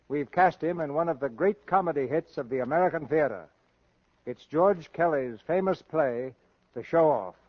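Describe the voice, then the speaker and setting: A man presents a vintage radio theater program with formal, measured showmanship. His voice is a little high and closely dry. The recording is muffled, like an old broadcast. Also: no echo. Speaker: radio theater host and narrator. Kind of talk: scripted 1930s-40s radio presentation.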